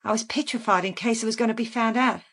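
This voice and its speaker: A woman's voice: on the high side, fast talker, a bit bright. An actress playing a calculating woman who confesses to killing in a matter-of-fact, unrepentant way, in a naturalistic radio crime drama. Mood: matter-of-fact, reminiscing. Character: calculating female killer calmly confessing in a police interview.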